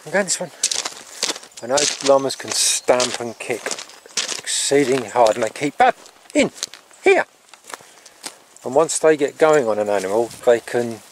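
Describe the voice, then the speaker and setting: A middle-aged man with a medium-pitched voice chats casually as he films himself outdoors. His talk is halting and rambling, like an informal farm vlog. The handheld camera picks up clear background noise. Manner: halting, breaking off to talk to animals. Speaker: farm vlogger. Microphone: handheld camera at arm's length.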